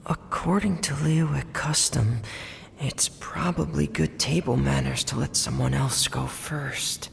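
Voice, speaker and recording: Shy hesitant young game character, really slow and creaky. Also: male voice, mid-range voice, fairly even intonation, a little hiss or hum. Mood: nervous. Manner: hesitant, halting. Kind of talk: animated fantasy game acting.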